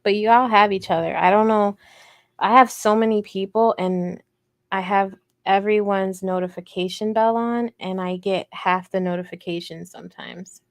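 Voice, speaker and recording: A woman with a soft voice, hosting a casual craft livestream in a rambling, playful, self-deprecating way as she answers viewer comments. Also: medium pitch, fairly even intonation, normal speed, no room sound. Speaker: host of a crochet livestream.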